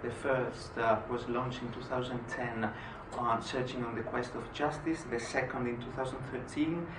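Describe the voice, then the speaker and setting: A man from Greece giving a conference presentation, pausing often with "uh" between phrases. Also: medium pitch. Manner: frequent "uh" hesitations. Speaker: conference speaker. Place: conference hall. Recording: echoey hall, distant mic, noisy.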